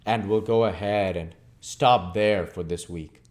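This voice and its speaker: A man reading a story aloud as channel host, in expressive narration with deliberate, measured phrasing. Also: on the low side. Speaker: audiobook reader and channel host.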